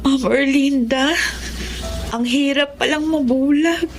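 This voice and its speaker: A woman's voice: high voice, fairly even intonation, slow, faint music behind. A woman with a Filipino accent playing a sad, polite young blind student in a radio drama, plaintive and respectful. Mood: sorrowful.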